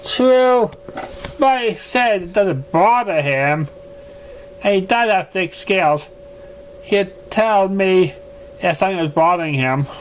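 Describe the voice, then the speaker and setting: Genteel female pony dressmaker for a cartoon fan story, played by a man: raised pitch, affected, theatrical, drawn-out delivery. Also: expressive, a little hiss or hum. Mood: defensive.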